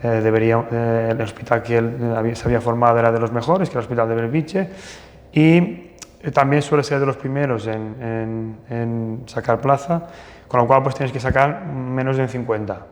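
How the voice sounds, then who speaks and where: A man's voice: mid-range voice, fairly even intonation, fairly quick. A man from northern Portugal, a plastic surgeon telling anecdotes in an interview, hesitant with many restarts and fillers. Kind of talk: casual interview storytelling. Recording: long hall reverb.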